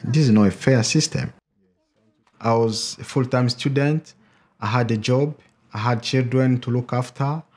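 A man's voice: mid-range voice, a little slow, darkish tone. A man in his forties giving a frank, earnest interview answer in a room, with small hesitations.

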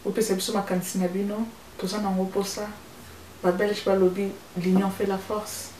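A woman's voice: mid-range voice, flat delivery, moderate pace, darkish tone, roomy sound, far from the mic, slight background noise, poor audio. A middle-aged woman, a show host appealing to her viewers and mixing French into Lingala. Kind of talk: closing address to viewers. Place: indoor studio set with flowers.

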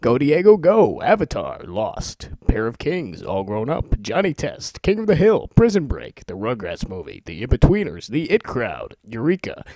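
Informal man with animated, mellow delivery. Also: medium pitch, moderate pace, few pauses, no room sound. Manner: joking, self-amused, informal. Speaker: amateur making a voice-over demo. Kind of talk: casual chat and rapid-fire list reading.

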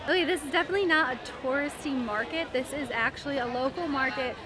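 A young woman vlogging at an outdoor night market, cheerful and relaxed. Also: high-pitched, fast, music in the background. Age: young adult. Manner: cheerful, relaxed commentary to camera. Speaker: travel vlogger. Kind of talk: casual travel vlog. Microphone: camera held at arm's length. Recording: loud crowd behind.

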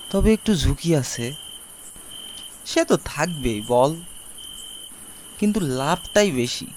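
A man's voice: sits high, darkish tone, slight background noise, exaggerated voice. A man playing a chatty, boastful, dreamy village boy who confides his schemes, in an audio story. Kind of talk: audio story character acting.

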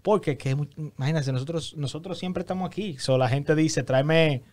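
Man, co-host, welcoming, spanish with english bits, higher voice. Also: moderate pace, a little mellow. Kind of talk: casual radio-show chat. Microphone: studio mic on boom arm, headphones.

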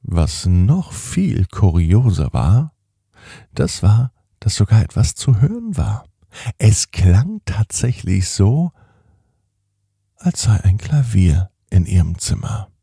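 A man narrating a children's bedtime story on a podcast in a slow, soothing manner that sinks to a whisper at the end. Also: on the low side, gravelly, warm tone, breathy edge, dry recording, clean studio sound.

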